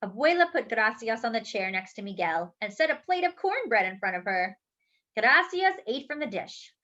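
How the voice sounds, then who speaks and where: Storybook reader, female. High-pitched, lively and expressive, acts out dialogue. Lo-fi sound. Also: moderate pace. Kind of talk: expressive picture-book read-aloud with chatty asides. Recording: dull lo-fi recording.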